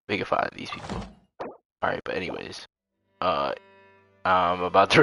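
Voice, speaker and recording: A man's voice: on the low side, gravelly, pushed and bright. A man streaming a game live, chatting casually with slangy, profane, playful reactions. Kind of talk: casual livestream chat over gameplay.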